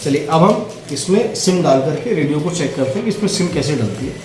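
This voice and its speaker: A man's voice: on the high side, lively intonation, brisk, darkish tone, a little hiss or hum. A man giving a casual, instructional product demo, speaking directly to viewers and checking in often as he explains each step. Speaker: product demonstrator. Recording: roomy echo, faint music.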